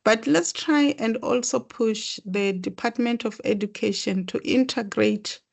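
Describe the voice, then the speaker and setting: Slow, gravelly South African woman. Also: mid-range voice, a little mellow. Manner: measured, earnest, speaks in short phrases. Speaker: panelist. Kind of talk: webinar panel answer. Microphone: video call.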